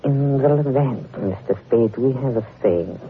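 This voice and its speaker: A man's voice: mid-range voice, a little slow, lightly acted. A man playing a dapper blackmailer from the Levant in a vintage radio play. He is oily and mock-polite, with a mannered, courtly way of talking. The recording is old and muffled, with faint noise. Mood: smugly sly. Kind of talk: 1940s radio drama acting.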